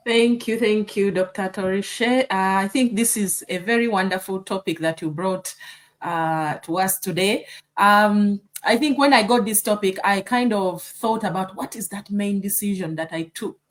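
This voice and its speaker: A woman's voice: medium pitch, moderate pace. A woman from Uganda, a nurse and mentor, chatting as a guest and thinking aloud with friendly fillers. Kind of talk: casual chat.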